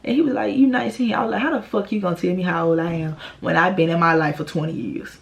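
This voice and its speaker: A woman, telling a profane, animated storytime to her vlog viewers. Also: on the low side, fast, gravelly. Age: 20s. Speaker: vlogger. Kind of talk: casual storytime monologue.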